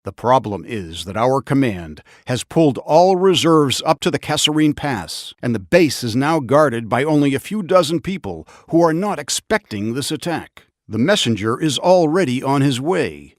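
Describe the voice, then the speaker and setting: Man acting an earnest army officer, very animated delivery. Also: medium pitch, moderate pace, few pauses, no echo, clean studio sound, lightly acted. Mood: grave, businesslike. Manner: earnest, commanding, emphatic. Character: urgent, resolute Allied army officer briefing his squad. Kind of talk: military mission briefing in a war game.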